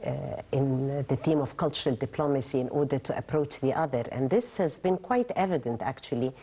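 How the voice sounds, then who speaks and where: A woman TV host asking formal questions, often pausing to search for words. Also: extremely low pitch, breathy edge, muffled recording. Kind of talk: TV studio interview.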